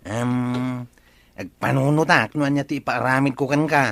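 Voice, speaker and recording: An actor with an Ilocano accent playing a gruff village man in a comedy radio drama. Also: medium pitch, steady tone, moderate pace, a bit bright, no echo. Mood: dismissive.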